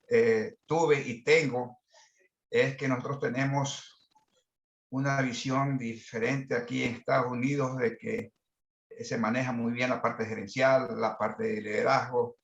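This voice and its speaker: Gravelly Ecuadorian man in his sixties. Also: mid-range voice, fairly even intonation, unhurried. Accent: Ecuadorian Spanish. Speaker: masterclass participant, management consultant. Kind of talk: introducing himself and sharing work experience. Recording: muffled, roomy online call audio.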